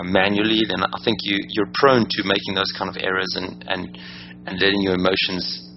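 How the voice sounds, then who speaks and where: A South African man talks in a deep, gravelly monotone. He stops and restarts often, padding his answers with fillers. The audio is dull and muffled, with a steady hum underneath. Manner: halting, many fillers and repeated words. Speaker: trader and software company owner. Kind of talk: interview answers.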